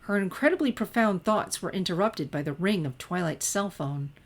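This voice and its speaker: A woman reading fan fiction aloud in expressive, scripted story narration. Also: on the low side, normal speed.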